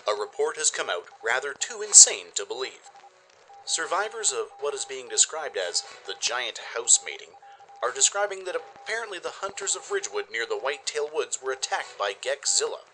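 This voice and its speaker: A man's voice: medium pitch, big pitch swings, normal speed, sharp tone, slight background noise, pitched above the performer's own voice, rough recording. A man playing a wry wasteland radio newsman, delivering a mock-serious, gossipy bulletin in an audio drama. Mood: wry, amused.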